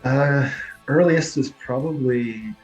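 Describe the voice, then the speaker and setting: A man in a band, a guest who gives brief, low-key answers in a casual interview. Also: slow, soft background music, poor audio.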